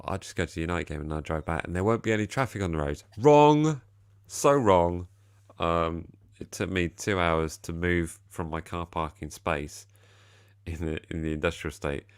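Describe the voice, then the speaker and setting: A man's voice: extremely low pitch, clear, resonant tone, dry recording, studio quality. A tired man hosting a casual football chat, rambling and self-deprecating. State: tired, slightly deeper voice than usual. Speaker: podcast host.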